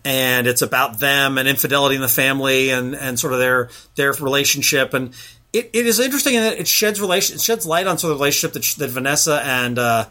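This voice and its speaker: Guy on a podcast chatting about tv, bright forceful voice, lots of ums and restarts. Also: medium pitch, quick pace. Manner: rambling, many fillers and restarts. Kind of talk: casual chat reviewing TV shows.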